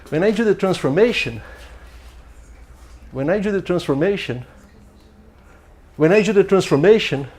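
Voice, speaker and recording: Guy w a strong foreign giving a lecture, bit high voice, keeps restarting and saying okay?. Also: darkish tone. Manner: thinking aloud, frequent restarts and "okay?" checks. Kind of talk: academic lecture. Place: lecture hall.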